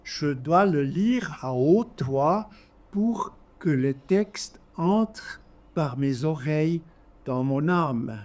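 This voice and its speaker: A man with a Swiss German accent speaks from the audience at a literary event. His voice is fairly high and mellow, and he goes slowly in short, deliberate, halting phrases. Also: animated. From German-speaking Switzerland. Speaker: audience member. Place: live literary café event.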